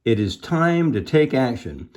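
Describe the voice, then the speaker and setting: A man voicing a self-important, by-the-book storybook police officer, deliberate and emphatic in his delivery. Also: medium pitch, very animated, a little mellow, voice pushed up. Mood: pompous.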